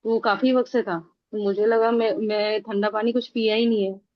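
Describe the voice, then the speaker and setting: A woman, a yoga trainee on a video call, slightly hoarse and hesitant as she explains herself. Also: medium pitch, steady tone, moderate pace, dry recording, highs cut off. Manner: hesitant, many fillers while explaining. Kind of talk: student asking questions in class.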